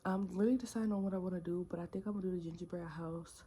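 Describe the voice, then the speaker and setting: A woman's voice: on the low side, racing, a little hiss or hum. Laid-back young woman rambling at home. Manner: relaxed, rambling, slangy, lots of fillers. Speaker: vlogger. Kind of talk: casual chat to camera. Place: bedroom at home.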